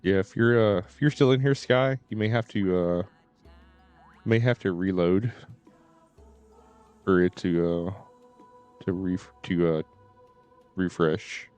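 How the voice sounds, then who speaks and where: Low, smooth-voiced male streamer, halting delivery. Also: fairly even intonation, darkish tone, faint music behind. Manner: halting, repeats phrases. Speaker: live streamer. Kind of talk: casual chat to stream viewers.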